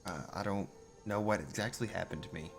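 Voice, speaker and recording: A man roleplaying a bewildered fantasy adventurer in a video game, thinking aloud in a halting, musing way. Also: on the low side, gravelly. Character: bewildered, hesitant male adventurer in a fantasy world. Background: music.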